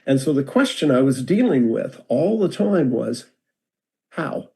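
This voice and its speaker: A man's voice: medium pitch, unhurried, creaky. An older American man giving a rambling, self-deprecating storytelling talk over a video call. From United States. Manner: relaxed, rambling, self-deprecating with many restarts. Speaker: guest speaker.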